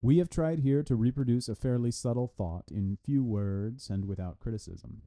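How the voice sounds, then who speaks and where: A man's voice: mid-range voice, normal speed, warm tone. A man reading an academic philosophy review aloud in a formal, scripted style. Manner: reads punctuation and citations aloud. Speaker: reader of a translated philosophy article.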